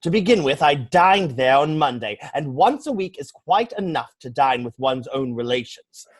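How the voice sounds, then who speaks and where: A man acts out a young English gentleman idler from a stage comedy. His highish voice swoops about as he delivers flippant wit with airy, pompous conviction. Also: lively intonation, moderate pace. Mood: airy indignation. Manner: pompous, airily mock-serious declaiming. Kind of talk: theatrical dramatic reading of a stage comedy.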